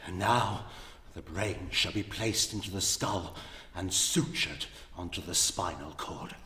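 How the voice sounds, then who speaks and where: Slow, portentous mad scientist. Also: male voice, deep voice, sharp tone, exaggerated voice. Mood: grave dread. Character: obsessed, grandiose, tormented male mad scientist. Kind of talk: gothic radio play monologue. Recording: echoey hall, ambient noise.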